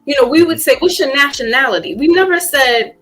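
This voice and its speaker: A woman's voice: high-pitched, lively intonation, a little fast, fairly bright tone. A woman hosting a podcast speaks in casual conversation, reflective and full of fillers, then grows emphatic. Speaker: podcast host.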